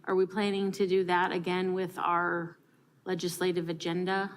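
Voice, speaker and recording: Woman, American accent, lowish pitch, conversational, hesitant, council meeting. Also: level tone, moderate pace, a little hiss or hum. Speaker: council member. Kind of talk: informal meeting discussion. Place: large council chamber, indoors.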